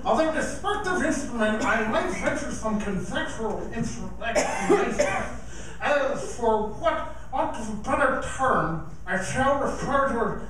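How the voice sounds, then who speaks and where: A man acting as a formal, halting local scientist explaining things in a live radio drama. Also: very high voice, lively intonation, fairly bright tone, exaggerated voice. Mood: pedantic, grave. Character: male local scientist, pedantic, measured college instructor. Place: live stage performance in a performing arts center. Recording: distant mic, echoey theater, faint noise.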